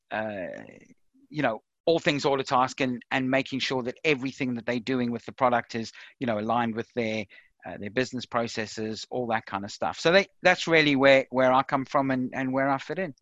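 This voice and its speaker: A man's voice: mid-range voice, steady tone, moderate pace, a bit bright, no room sound, muffled recording. A middle-aged man with a South African accent, a podcast guest talking casually with many fillers and restarts. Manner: lots of fillers and repeated words. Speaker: podcast guest, software consultant. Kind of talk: casual interview chat.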